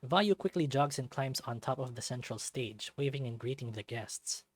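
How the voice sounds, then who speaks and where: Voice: medium pitch, lively intonation, moderate pace, no echo. A narrator reading a visual novel aloud in a dramatic storytelling style, with music behind. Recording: background music under the voice. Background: game music.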